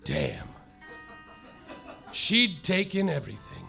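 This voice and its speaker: A man's voice: on the high side, huge pitch swings, slow pace, creaky, pushed and bright, faint music behind, exaggerated voice. An actor playing a hapless, overwrought private detective narrating his misfortunes in a live comedy radio play. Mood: mopey. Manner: melodramatic noir narration, overwrought. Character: hapless hard-boiled male private detective. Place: theater stage, live.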